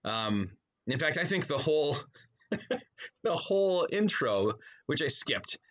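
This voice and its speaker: A man's voice: fairly high pitch, big pitch swings, measured pace, fairly bright tone, dull audio. A middle-aged man speaking as a podcast guest in a casual, colloquial, jokey way, like someone chatting with a buddy. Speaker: podcast guest, author and pastor. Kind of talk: casual conversational interview.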